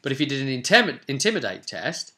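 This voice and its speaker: A man's voice: medium pitch, sing-song, fast, sharp tone. A man in his twenties chatting casually and thinking aloud as he explains a tabletop game to viewers. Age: 20s. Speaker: host of a tabletop roleplay channel. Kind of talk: casual explanatory chat while rolling a game character. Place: small home room, indoors. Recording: roomy echo, background hiss and clicks.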